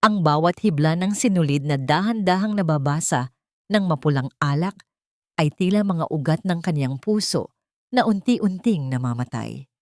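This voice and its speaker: Female story narrator, fairly low pitch, solemn and emotive scripted delivery, dry studio-like sound. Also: lively intonation, moderate pace, darkish tone, no room sound.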